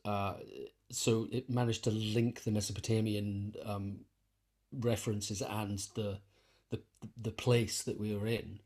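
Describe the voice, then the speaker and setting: A man speaking as an interviewed musician in a reflective conversation, thinking aloud haltingly and rephrasing as he goes. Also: fairly low pitch, little pitch movement, a little mellow. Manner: thoughtful, halting, self-correcting.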